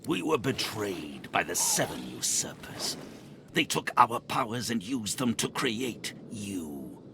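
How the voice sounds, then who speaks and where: A man's voice: lowish voice, big pitch swings, slow pace, gravelly, exaggerated voice. A man playing an ancient, betrayed otherworldly king in a fantasy game, speaking with grave menace and hissed whispers. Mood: bitter menace. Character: ancient betrayed Eternal king, vengeful otherworldly male being. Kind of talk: dramatic fantasy game acting. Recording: music behind the voice.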